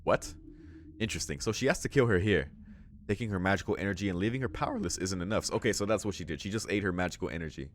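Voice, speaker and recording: A man's voice: low-pitched, lively intonation, fast, a little hiss or hum. A man reading game text aloud and adding informal, exclamatory reaction commentary as a let's play host. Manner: informal, exclamatory asides between read passages.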